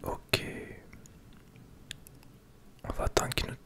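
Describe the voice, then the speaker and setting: A person with a Québécois French accent whispering casual ASMR gameplay commentary in short remarks, breathy and close to the microphone. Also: slow. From Quebec, Canada. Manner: soft, casual, reacting to the game in short remarks. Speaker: gaming ASMR video creator.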